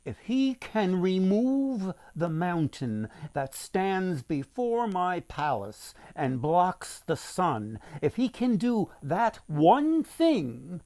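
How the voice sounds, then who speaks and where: A man voicing a crafty, commanding king in a folktale, with deliberate emphasis. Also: a little high, very animated, a little mellow, heavily acted. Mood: challenging.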